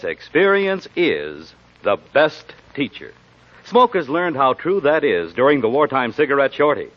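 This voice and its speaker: A man reading a 1940s radio commercial as announcer, polished and emphatic. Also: mid-range voice. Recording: dull lo-fi 1940s radio recording.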